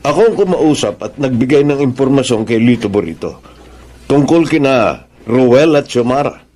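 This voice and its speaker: An actor playing a smug, ambitious grandson plotting in politics, theatrical and sprinkling in English, in a Filipino radio drama. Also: male voice, medium pitch, animated, moderate pace, darkish tone, lightly acted.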